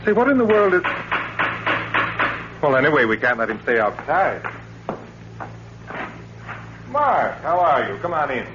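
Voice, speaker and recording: An actor playing an uneasy, suspicious husband who probes yet stays affectionate, in 1940s radio thriller style. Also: male voice, on the high side, very animated, unhurried, pauses often. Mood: hearty. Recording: dull old radio recording with hum.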